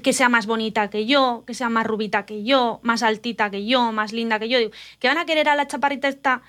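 A woman from Spain, telling personal stories in an animated, confiding casual chat. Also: a little high, fairly quick.

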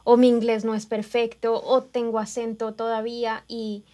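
A woman's voice: a little high, little pitch movement, normal speed, warm tone. A woman from Colombia, speaking thoughtfully and reassuringly in a casual chat.

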